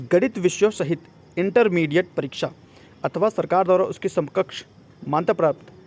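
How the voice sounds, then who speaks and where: A man's voice: on the high side, a little mellow. A man gives an informal explainer, mixing in English words and often checking that listeners follow. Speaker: exam coaching presenter. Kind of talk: informal explainer of a job notice.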